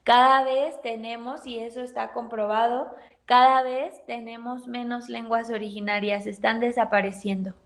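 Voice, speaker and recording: A Mexican woman teacher lecturing her students, often pausing to ask them questions. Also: fairly high pitch, little pitch movement. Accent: Mexican Spanish. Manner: questioning the class, thoughtful pauses. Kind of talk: university pedagogy lecture.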